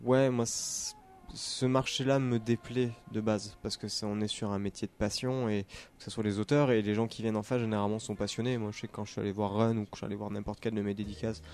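A man's voice: lowish voice, level tone, quick pace, darkish tone. A man chatting casually on a podcast, talking with many fillers and restarts. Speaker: podcast co-host, comics shop worker. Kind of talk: casual chat.

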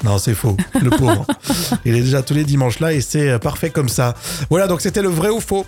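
A man hosts a relaxed studio radio show, running quiz games and chatting with his co-host. His voice is a touch high and very animated, rising and falling as he talks and laughs. Soft music plays faintly behind him. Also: brisk. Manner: lively, laughing often, chatty.

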